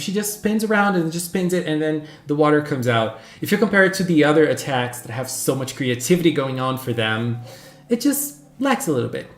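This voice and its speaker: A man whose first language is Portuguese, hosting a casual livestream and chatting with viewers in an animated, gushing way, squealing greetings. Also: fairly high pitch. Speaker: livestream host. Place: home room. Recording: echoey room with faint background music.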